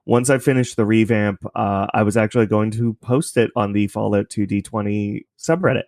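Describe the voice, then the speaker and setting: A man with a fairly low voice speaks informally as a podcast guest in an interview. He explains things in a casual, conversational way, and the sound is dry and close. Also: normal speed, darkish tone, dry recording.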